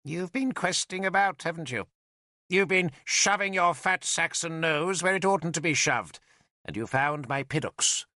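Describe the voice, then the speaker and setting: A man's voice: on the high side, measured pace, pushed and bright, dry recording, clean studio sound, pitched above the performer's own voice. A male narrator voicing an old, vain and cantankerous wizard who mocks and lectures in a sardonic way. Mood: scornful. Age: older. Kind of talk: audiobook character voice.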